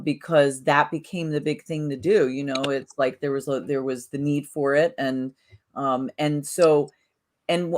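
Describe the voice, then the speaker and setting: A woman co-hosting a casual spiritual chat podcast, talking in a rambling way with many restarts and "you know"s. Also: low voice. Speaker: podcast co-host.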